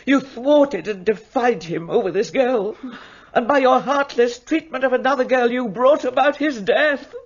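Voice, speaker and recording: A man's voice: extremely high pitch, unhurried, muffled recording. An actor voicing a cold, unforgiving older mother who speaks in a stern, formal manner in a radio play. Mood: icy.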